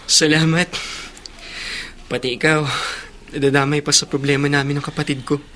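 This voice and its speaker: A man's voice: on the high side, flat delivery, normal speed, bright, forceful tone, a little hiss or hum. A man acting an afflicted elder brother in a radio drama, sincere and heavy-hearted. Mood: despairing. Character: earnest, afflicted elder brother clinging to faith.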